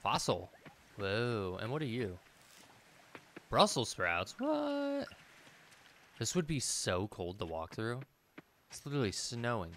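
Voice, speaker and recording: A man's voice: medium pitch, huge pitch swings, slow, long pauses, a bit bright, a little hiss or hum. A man in his thirties giving casual let's play commentary, thinking aloud and muttering to himself as he plays. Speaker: gaming video host.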